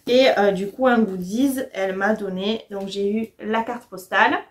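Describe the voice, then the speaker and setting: A woman's voice: mid-range voice, fairly even intonation, moderate pace. A woman, chatting casually and enthusiastically to the camera as a book vlogger. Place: small furnished room at home, indoors.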